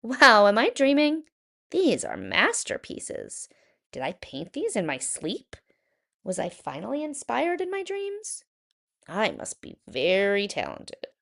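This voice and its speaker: A woman voicing an earnest, worried male painter in a children's picture book, with animated, expressive delivery. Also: mid-range voice, slow, vocal fry, full character voice. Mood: amazed.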